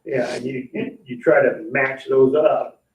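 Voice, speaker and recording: A man explains procedure as an official at a board meeting. His mid-pitched voice comes from some distance off the mic, muffled and ringing with room echo. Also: steady tone, slow, slight background noise.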